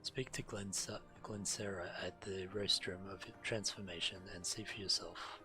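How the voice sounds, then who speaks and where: A low-pitched male game streamer reads quest text aloud with a measured, deliberate delivery. Fantasy game music plays clearly behind him. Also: steady tone, low-quality recording. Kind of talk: reading quest text aloud while playing.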